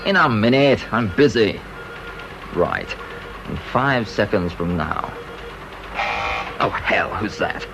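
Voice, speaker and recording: A man's voice: lowish voice, lively intonation, takes it slowly, gravelly, pauses often, noisy background, heavily acted. An actor playing an eager, deferential British junior researcher in a radio drama, earnest and hesitant before his superior. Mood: startled, urgent.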